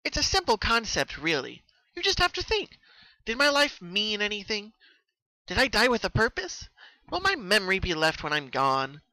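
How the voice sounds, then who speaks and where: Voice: mid-range voice, huge pitch swings, normal speed, pushed and bright, dry recording, voice pushed up, heavily acted, rough recording. A narrator voicing a sadistic pony mare who lectures a captive in a patronising, philosophising manner, for a horror story reading. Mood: gleeful, chilling calm. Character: sadistic pony mare torturer, calmly philosophising, sing-song menace.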